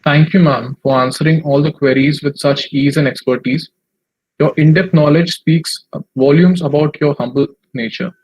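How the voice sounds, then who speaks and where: Formal conference anchor, husky voice. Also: female voice, deep voice, fairly even intonation, normal speed, darkish tone, low-quality recording. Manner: formal, effusive, reading from a script. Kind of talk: formal thanks and speaker introductions.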